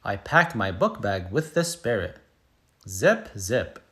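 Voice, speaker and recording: Man voicing eager little schoolgirl, storybook read-aloud, slow pace, very lively pitch, emphatic sound words. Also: heavily acted. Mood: playful, emphatic. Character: excited little schoolgirl telling her first day. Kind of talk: picture book read-aloud.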